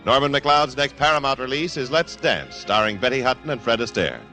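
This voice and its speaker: A man's voice: mid-range voice, expressive, brisk, bright, forceful tone, soft background music, rough recording. An American man announcing a radio show and its sponsor in scripted 1950s broadcast style.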